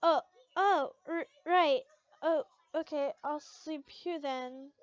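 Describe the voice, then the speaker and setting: A woman's voice: sits high, slow pace, dry recording, dull audio. A woman voicing a flustered, stubborn young woman in denial about love, stammering and emphatic in an amateur story reading.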